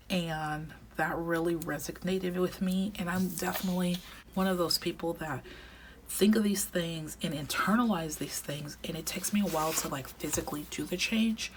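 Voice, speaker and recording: A low-pitched woman in her thirties talks through her planner in a relaxed, rambling way, slipping into hushed near-whispers as she works. Her voice has turned slightly raspy from recording all day. A steady hiss of background noise sits under the home recording. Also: low-quality recording. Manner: chatty, reflective, drifts into soft half-whispers. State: voice getting raspy from long recording. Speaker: planner vlogger. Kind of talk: casual plan-with-me chat.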